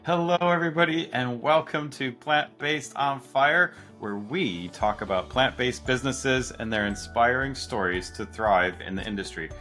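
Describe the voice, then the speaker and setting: A man's voice: mid-range voice, moderate pace, hardly any pauses, sharp tone, soft background music. A man hosting a podcast interview, enthusiastic and upbeat, asking questions in a casual way. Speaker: podcast host. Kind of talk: scripted intro then casual interview.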